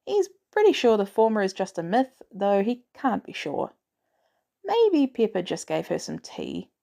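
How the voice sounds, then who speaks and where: Mellow-voiced woman narrating a story. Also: medium pitch, sing-song, measured pace, creaky. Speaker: audiobook narrator of fan fiction.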